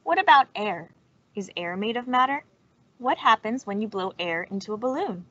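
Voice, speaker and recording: A woman's voice: fairly high pitch, expressive, normal speed, pushed and bright, no echo. A woman reading a children's science book aloud over a video call. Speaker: storytime reader. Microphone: video call microphone. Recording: dull, lo-fi video call audio.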